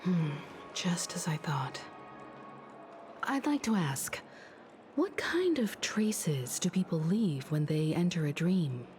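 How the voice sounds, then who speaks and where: A woman playing a calm, analytical investigator in a video game, speaking thoughtfully and deliberately. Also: fairly low pitch, soft background music. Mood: polite, probing.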